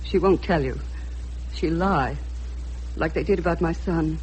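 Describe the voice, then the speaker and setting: An actress playing an anxious middle-aged mother of a missing man in a radio drama, urgent and halting. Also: low-pitched, a little mellow. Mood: anxious, pleading. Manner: urgent, halting, stammering with fear. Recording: noisy radio drama recording with hum.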